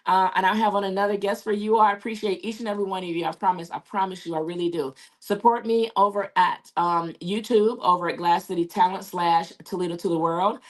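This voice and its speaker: A woman with a hoarse, raspy voice from losing it, hosting a casual talk show and chatting along with many fillers. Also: medium pitch, fairly even intonation, a little fast, hardly any pauses. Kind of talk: casual live show sign-off.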